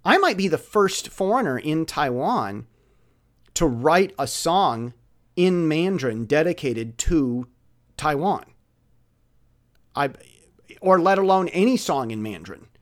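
A man speaking in a casual, rambling monologue, excitably repeating words and phrases for emphasis. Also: on the high side, slow pace, pauses often. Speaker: musician with an online channel.

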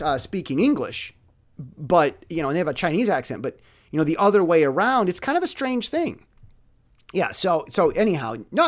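A man speaking in a casual, rambling monologue, excitably repeating words and phrases for emphasis. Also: high-pitched. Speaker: musician with an online channel.

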